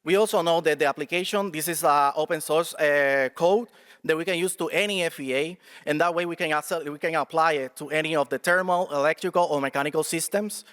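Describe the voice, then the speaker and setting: Halting young man presenting in an echoey hall. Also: fairly high pitch, a bit bright, clear, resonant tone. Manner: halting, many fillers and restarts. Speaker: student presenter. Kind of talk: technical pitch and answering questions.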